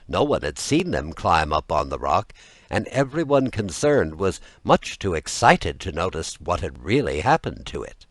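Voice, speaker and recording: A man reading a fairy tale as an audiobook narrator, with expressive, deliberate storytelling. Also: sits low, no echo.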